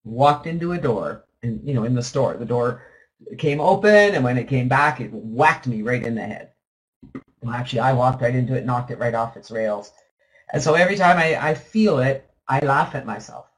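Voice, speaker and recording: A man's voice: mid-range voice, lively intonation, moderate pace, poor audio. A man hosting a live webinar in a chatty, upbeat way, rambling with restarts and with a slight lingering cough. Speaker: webinar host. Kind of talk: casual talk on a live webinar call.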